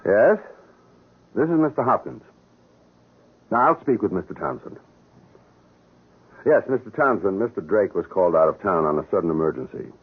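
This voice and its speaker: An actor playing an anxious, cautious lover and accomplice in a 1970s radio drama, reasoning and admonishing in formal speech. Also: male voice, low voice, animated, moderate pace, long pauses, a little hiss or hum. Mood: smooth. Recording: muffled, narrow-band old radio broadcast.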